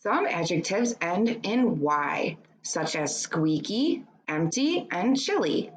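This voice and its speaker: Slow-paced woman reading aloud to pupils. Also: fairly low pitch, sing-song, low-quality recording. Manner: deliberate, instructive, addressing young pupils. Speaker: teacher reading a book aloud. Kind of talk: children's educational read-aloud with short explanations.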